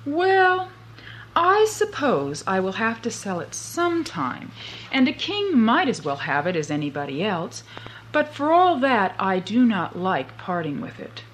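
A woman voicing a clever, cheeky young farm boy who talks brightly and slyly. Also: mid-range voice, sing-song, unhurried, slight background noise, low-quality recording.

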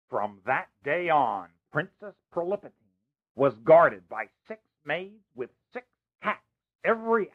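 A man's voice: high-pitched, slightly rough, exaggerated voice. A man playing a kindly older godfather who narrates a fairy tale in a theatrical, playful way. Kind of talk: storybook narration. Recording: tinny old lo-fi recording.

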